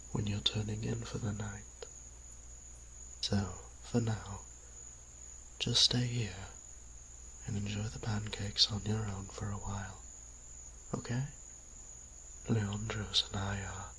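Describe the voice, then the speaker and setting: A man playing a gentle, devoted vampire priest in an audio drama, speaking earnestly and with careful phrasing. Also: lowish voice, a little hiss or hum, low-quality recording. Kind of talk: audio roleplay drama acting.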